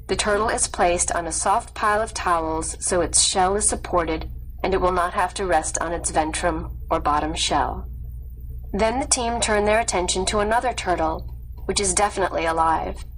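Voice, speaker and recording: A woman narrating a scripted nonfiction story in a measured, clearly read delivery. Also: on the low side, slight background noise, low-quality recording. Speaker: audiobook narrator.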